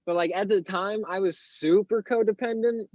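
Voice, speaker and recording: An eighteen-year-old man with a higher-pitched voice, a guest on a podcast, talking candidly and rambling with lots of fillers. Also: moderate pace, darkish tone, dry recording, muffled recording. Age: teens. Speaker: guest artist. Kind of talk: casual interview chat.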